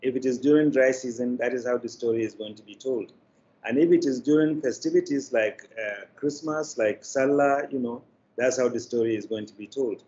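An older man with a Nigerian accent gives interview answers in a measured, deliberate, formal manner. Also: medium pitch, dark tone. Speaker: guest, media company executive. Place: indoor room, video call. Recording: dull, noisy video call.

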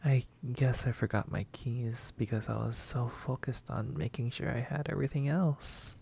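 A man acting a shy, polite, flustered neighbor in a roleplay ASMR, hesitant, apologetic and breathy. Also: medium pitch, normal speed. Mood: sheepish. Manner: hesitant, soft-spoken, apologetic, breathy sighs and whispers.

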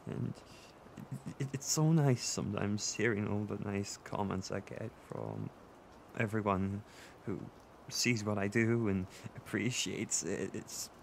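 A man playing an insecure, tearful boyfriend who seeks comfort in an intimate audio roleplay, speaking in a halting, stammering way. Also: fairly low pitch, creaky, a little hiss or hum. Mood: soft, grateful, then wistful, tearful. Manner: halting, stammering, with nervous soft laughs. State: emotional, tearful.

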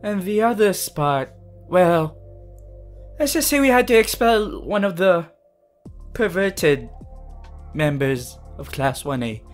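A small, cheerful animal headmaster acted out by a voice performer talks in a formal, polite way. The anime-style delivery is very unhurried, with faint music behind it. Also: medium pitch, animated. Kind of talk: anime fan roleplay.

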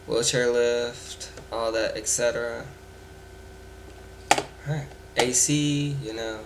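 A man's voice: mid-range voice, gravelly. A young man with a cold, casually rambling through gameplay commentary with an offhand manner. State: congested from a cold. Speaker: gameplay video maker. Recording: noisy home mic with keyboard sounds.

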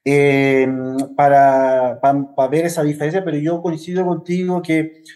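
A middle-aged man answers interview questions reflectively and haltingly, speaking over a video call with earphones. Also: a little high, a little mellow. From Venezuela and Chile. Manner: reflective, halting, often adds "¿ya?" tags. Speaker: interview guest, academic researcher. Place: plain office, indoors. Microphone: wired earphones on a video call.

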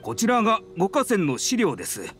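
An earnest publishing editor with a high, animated voice. He is courteous, apologetic and deferential, in anime game style over faint music. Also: normal speed, exaggerated voice. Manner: very polite, deferential business speech. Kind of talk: Japanese anime game dub.

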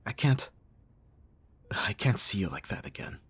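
Man acting a low husky bodyguard in love. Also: big pitch swings, a little slow, full character voice. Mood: pained, tender. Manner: soft, intimate, sighing, teasing. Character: gruff but tender young male bodyguard in love. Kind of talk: ASMR roleplay acting.

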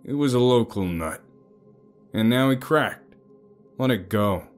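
A man voicing a large, cross small-town local in an audio story, gruff, stern and dismissive. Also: mid-range voice, lively intonation, unhurried, soft background music, pitched above the performer's own voice, natural voice.

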